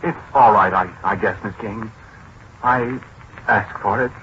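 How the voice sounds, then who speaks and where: An American actor playing a gentle, disgraced scientist in a 1940s radio drama, hesitant and apologetic, with self-pity in his delivery. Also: lowish voice, lightly acted. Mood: hesitant, downcast. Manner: hesitant, halting, self-pitying. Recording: muffled, noisy 1940s radio recording.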